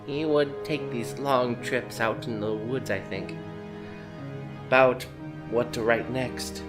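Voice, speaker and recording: A man acts out a middle-aged grandmother giving testimony in an audio drama. The voice sits at a medium pitch, hesitant and chatty, sidestepping as it goes, with music underneath. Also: fairly even intonation, slow, natural voice. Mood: evasive, casual.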